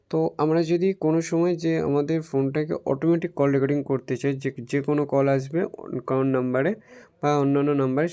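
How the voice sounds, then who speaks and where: A man's voice: medium pitch, a little mellow. A man giving an informal phone tutorial voice-over, explaining step by step in a repetitive, instructional way. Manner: instructional, repetitive, addresses viewers as friends. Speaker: tech tutorial YouTuber.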